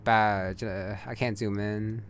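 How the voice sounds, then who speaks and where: A man's voice: lowish voice, flat delivery, slow. A man giving casual, offhand gameplay commentary, thinking aloud and trailing off. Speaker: gamer playing and commenting. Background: game music.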